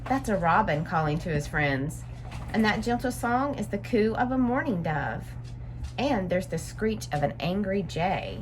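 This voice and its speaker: A woman's voice: mid-range voice, sing-song, measured pace, slight background noise. A woman reading a picture book aloud to young children, adding simple explanatory asides as a story-time teacher.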